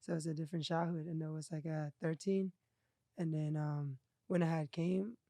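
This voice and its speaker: A man from Thailand with a Thai accent, a guest telling his story with halting, hesitant speech full of fillers. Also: fairly high pitch, level tone, warm tone, no echo. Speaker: guest, clothing designer. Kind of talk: casual interview storytelling.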